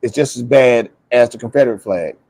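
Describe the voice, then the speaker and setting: A man's voice: medium pitch, brisk, gravelly, a little mellow, no room sound. An American man in a casual, opinionated group discussion, speaking emphatically in colloquial language and repeating phrases for stress. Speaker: panelist on a talk show.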